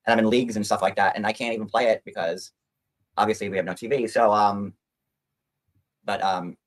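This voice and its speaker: A man with a somewhat low voice talks casually on a livestream. He rambles and swears casually. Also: steady tone, fairly quick.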